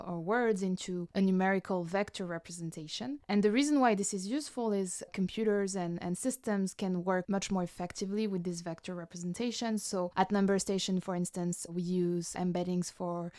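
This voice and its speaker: A woman's voice: mid-range voice, a little mellow, no room sound, clean studio sound. A woman giving methodical, explanatory answers as a tech founder being interviewed.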